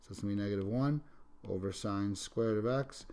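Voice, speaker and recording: A man's voice: on the low side, a little fast, dark tone. A man teaching a calculus lesson, sick and sniffling, talking through each step as he writes and correcting himself along the way. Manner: talks through steps while writing, self-correcting asides. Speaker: math teacher. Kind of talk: recorded calculus lecture. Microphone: microphone.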